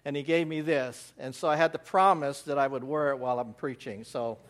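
An American missionary giving a church slide presentation, rambling with many fillers and restarts. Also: male voice, mid-range voice, fairly quick. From United States.

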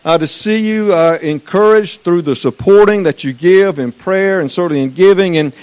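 A man's voice: high-pitched, moderate pace, darkish tone, clear, resonant tone. A man from Eastern North Carolina with a Southern accent, preaching a folksy sermon as a guest missions speaker and quizzing the congregation. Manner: folksy, chatty, asks the congregation questions. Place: church sanctuary.

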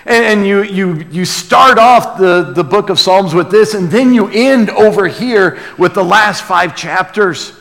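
A man's voice: high-pitched, measured pace, gravelly, hardly any pauses, a bit bright. Man preaching in a reverberant hall. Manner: earnest, exclamatory, dwelling on phrases with repeats. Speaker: pastor preaching to a congregation. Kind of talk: sermon, conversational Bible teaching with call and response. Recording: long hall reverb of a large room.

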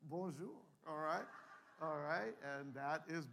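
Pastor, male, 40s. High, bright voice; playful, jokey speaker who keeps asking the room for answers. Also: expressive, slow, creaky, a little hiss or hum. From Canada. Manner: joking, playful, calls for audience replies. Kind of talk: lively humorous talk to an audience. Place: large room with a live audience.

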